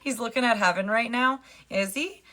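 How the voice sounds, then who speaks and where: A woman's voice: a little high, moderate pace, pushed and bright. A woman with a New Jersey accent chatting casually on a fitness livestream. She jokes around and greets viewers by name. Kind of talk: casual live-stream chat.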